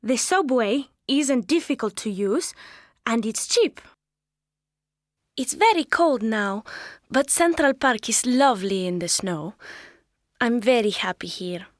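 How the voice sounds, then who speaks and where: A woman with a high voice reads a beginner's English lesson text aloud. She goes slowly and carefully, every word plain. The recording is close and dry. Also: lively intonation, dry recording. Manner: slow, careful, clearly spoken. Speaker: English course narrator. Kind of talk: slow scripted textbook reading.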